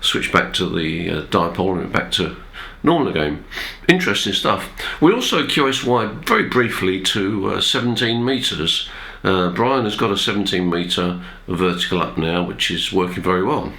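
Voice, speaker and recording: A man's voice: low voice, lively intonation, normal speed, creaky, pushed and bright. A man with a nasal, congested voice from a cold, presenting amateur radio talk in an informal, hesitant, conversational way. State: has a cold, throat-clearing. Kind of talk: informal explanatory talk to viewers.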